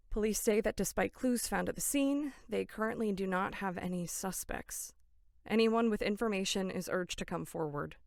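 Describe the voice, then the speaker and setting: A woman's voice: lowish voice, no room sound, studio quality, close to an everyday voice. A woman acting in an audio drama as a level-headed young camp counselor, sincere, polite and matter-of-fact.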